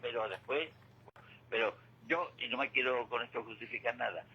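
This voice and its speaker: A man's voice: mid-range voice, normal speed. An older man giving opinions as a caller on local radio, emphatic and repetitive, seeking agreement. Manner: emphatic, repeats himself, seeks agreement. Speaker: guest caller. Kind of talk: opinionated conversational radio commentary. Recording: dull telephone line with faint noise.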